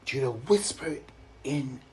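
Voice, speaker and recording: Animated Vincentian man telling children's stories. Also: mid-range voice. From Saint Vincent and the Grenadines. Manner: animated, expressive, deliberate reading with dramatic emphasis. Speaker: children's storyteller and author. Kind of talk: live storytelling to children.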